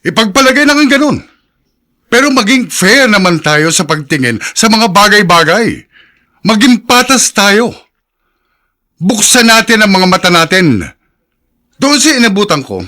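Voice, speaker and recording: A man's voice: sits high, sing-song, moderate pace, pauses often, sharp tone, heavily acted. A man acting an exasperated, fair-minded father with a Filipino accent in a radio drama. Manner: stern, emphatic. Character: exasperated, fair-minded father scolding his unruly son.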